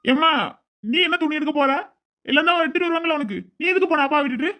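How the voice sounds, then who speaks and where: A woman's voice: high-pitched, fast talker, pushed and bright. A woman voicing an upset grown younger son in a family drama, accusing and scolding with pointed questions. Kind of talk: amateur family drama dialogue.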